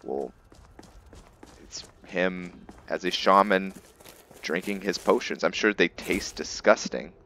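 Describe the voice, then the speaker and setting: A man giving casual, improvised game commentary in a halting, think-aloud manner as he narrates a roleplay playthrough. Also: deep voice, bright, forceful tone, slight background noise. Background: background game music.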